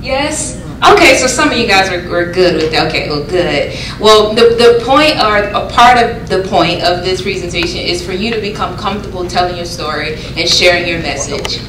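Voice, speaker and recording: A woman's voice: on the low side, expressive, normal speed, hardly any pauses. A woman presenting a workshop in a casual way, asking the room questions. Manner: chatty, asks the room questions. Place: conference room. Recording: echoey room, distant mic, faint noise.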